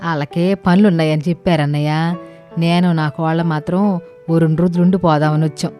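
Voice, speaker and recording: A woman voicing an affectionate older mother-in-law in an animated village story, speaking in rustic, colloquial phrases over music. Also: sits low, brisk, natural voice. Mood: teasing. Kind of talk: acted dialogue in animated comedy story. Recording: music under the voice.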